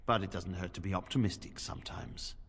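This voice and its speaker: An actor playing a grave, courteous male commander in a fantasy game, speaking in a measured, formal, dry manner. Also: sits low, creaky, a bit bright, slightly rough, big-room sound, heavily acted. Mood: dryly doubtful. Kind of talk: video game voice acting.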